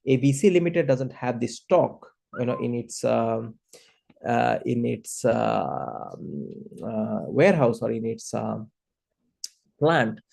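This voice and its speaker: A man teaching a software lesson as an instructor, explaining step by step in a hesitant, halting way with very frequent filler words. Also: mid-range voice, animated, gravelly.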